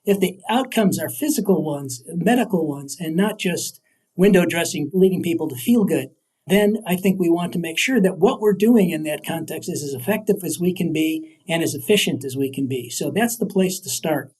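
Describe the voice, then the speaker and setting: A man's voice: fairly high pitch, expressive, moderate pace, darkish tone, slightly rough. An older man, an academic guest, explaining his field in interview answers. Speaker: guest, emeritus professor of communication.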